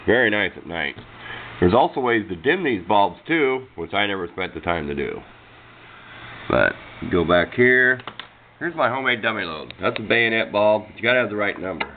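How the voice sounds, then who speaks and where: Very deep-voiced man, folksy and rambling. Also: sing-song, moderate pace. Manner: rambling, folksy informal speech. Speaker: hobbyist presenter of radio demonstration. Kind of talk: casual hands-on explanation. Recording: noisy muffled amateur camera audio.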